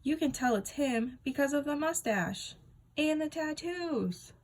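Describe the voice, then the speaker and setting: A woman's voice: sits high, lively intonation, a little slow, pitched above the performer's own voice. Woman as a teasing, smart-mouthed schoolboy. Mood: amused. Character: teasing, smart-mouthed sixth-grade boys, the hero's best friends. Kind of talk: children's book read-aloud.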